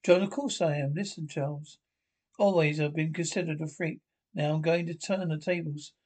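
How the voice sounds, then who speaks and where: A man's voice: fairly high pitch, fairly even intonation, moderate pace, darkish tone, natural voice. A man playing an eager, scornful albino inventor in a radio play, insistent and domineering. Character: eager, scornful albino inventor plotting with his invisibility serum. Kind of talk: radio play script read aloud.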